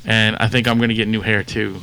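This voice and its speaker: A man's voice: lowish voice, flat delivery, brisk, pushed and bright, poor audio. A man hosting a podcast in casual, profane banter, teasing the people he talks with. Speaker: podcast host.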